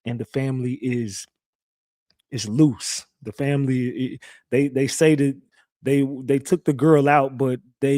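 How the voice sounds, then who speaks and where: A young man with a slightly raspy, recovering voice, hosting a casual live stream and talking loosely to his chat with slang and lots of repeats. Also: mid-range voice, dark tone, dry recording, clean studio sound. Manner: loose, repetitive, talks straight to chat with slang. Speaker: live-stream true crime host. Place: home streaming setup.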